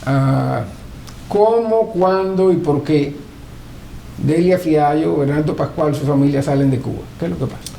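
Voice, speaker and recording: Male interviewer, Cuban accent, fairly high voice, measured questions in short phrases, roomy echo, faint noise. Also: vocal fry, warm tone. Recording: echoey room with faint noise.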